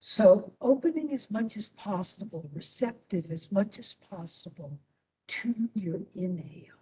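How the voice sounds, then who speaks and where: A woman instructor leading a guided meditation in a soothing manner, with long pauses between short phrases. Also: medium pitch, lively intonation, mellow, distant mic, poor audio.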